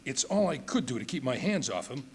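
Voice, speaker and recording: An actor in a radio drama re-enactment playing a distraught father, halting and agitated as he confides in a friend. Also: mid-range voice, very animated, gravelly, darkish tone, a little hiss or hum. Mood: anguished.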